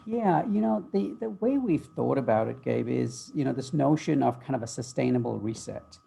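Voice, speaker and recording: A middle-aged man speaks as a guest on a video-call interview, his voice deeply gravelly and a bit husky. His tone is dark and mellow, and he answers in a thoughtful, fluent way, dotted with ums. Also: expressive, moderate pace. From Malaysia, raised in the UK. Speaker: guest, future-of-work author. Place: home office.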